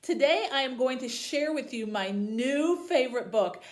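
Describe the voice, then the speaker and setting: A woman presenting and reading aloud as a librarian for tweens, in a deliberate, presentational way. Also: high-pitched, lively intonation. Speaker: youth services librarian hosting a book program. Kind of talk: book talk and read-aloud for tweens.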